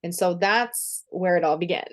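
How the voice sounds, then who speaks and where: Woman, thirties, lowish pitch, chatty and upbeat. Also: measured pace. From Brazil. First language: Portuguese. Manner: upbeat, chatty, laughs easily. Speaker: guest, empowerment coach. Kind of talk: podcast interview.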